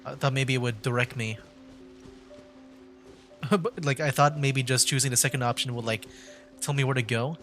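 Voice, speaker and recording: A man's voice: mid-range voice, steady tone, moderate pace, long pauses, fairly bright tone. A man giving casual live commentary as he plays a video game, rambling and thinking aloud. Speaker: livestreamer playing a video game. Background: game music.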